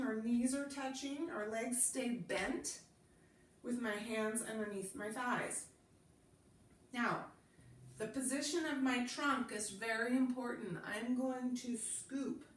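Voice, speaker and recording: A woman with a stuffy head from a cold, calmly leading a Pilates class and cueing each movement while she demonstrates. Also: fairly high pitch, roomy sound, far from the mic, a little hiss or hum, poor audio. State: congested from a cold. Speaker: Pilates instructor. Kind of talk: guided exercise class instruction.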